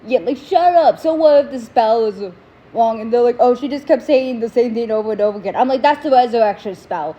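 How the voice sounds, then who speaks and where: A young woman with a stuffy nose and sore throat, hosting a casual reaction video in an animated, opinionated way. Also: fairly high pitch, a little fast, lo-fi sound. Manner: animated, opinionated ranting to viewers.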